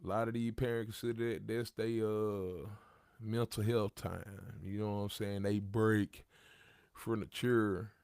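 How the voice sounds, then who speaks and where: A man's voice: on the low side, level tone, a little slow, darkish tone, dry recording. A man in his thirties hosting a livestream, talking casually with slang and repeating his points. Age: 30s. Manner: gravelly, conversational, repeats himself, street slang. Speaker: livestream host.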